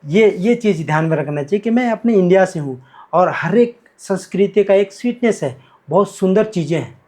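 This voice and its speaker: Enthusiastic higher-voiced man explaining. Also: animated, normal speed, a little mellow. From Bihar, India. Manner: explains by breaking down verses, switches between Hindi and Marathi. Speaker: guest teacher, academy cofounder. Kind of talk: podcast conversation.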